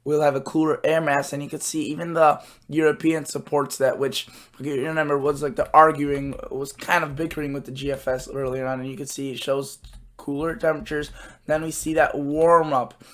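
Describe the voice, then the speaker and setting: A man hosting his weather channel, his voice a bit hoarse, explaining forecast charts in a casual, halting way with lots of filler words. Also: on the high side, little pitch movement, a bit bright.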